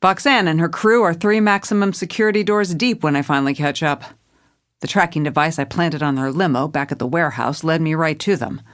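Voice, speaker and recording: A woman's voice: sits low, brisk, natural voice. A woman playing a grave police commissioner, narrating her case in a dramatic noir style. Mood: determined.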